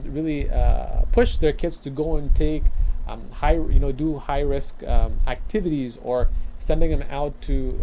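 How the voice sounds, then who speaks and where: A man in his late twenties, from Afghanistan, speaking as a community worker in an interview and answering at length with many pauses, fillers and restarts. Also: a little high, warm tone, a little hiss or hum, poor audio.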